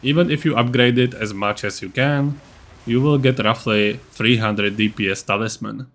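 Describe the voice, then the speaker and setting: A man's voice: mid-range voice, normal speed, fairly bright tone. A man with a mid-range voice narrates a gaming guide. His delivery is informal and matter-of-fact, explaining things like a creator talking to his viewers. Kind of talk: casual explanatory build-guide voice-over.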